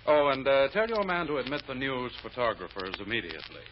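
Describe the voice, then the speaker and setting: An actor playing a vain, vindictive prosecutor in old radio drama style, pompous and self-satisfied. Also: male voice, medium pitch, very animated, a little fast, lightly acted. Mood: self-important. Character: vain, vindictive male prosecutor gloating over a capture. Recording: muffled, noisy old radio recording.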